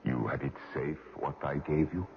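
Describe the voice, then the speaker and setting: Imprisoned french general, really low voice, concerned, muffled noisy radio play. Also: male voice, fairly even intonation, normal speed. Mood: secretive concern. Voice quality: deep, gravelly. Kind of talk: radio drama acting.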